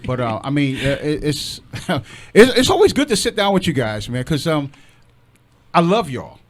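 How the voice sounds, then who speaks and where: A man hosting a radio show in casual, excitable chat, with an effusive, affectionate manner. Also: on the high side, normal speed.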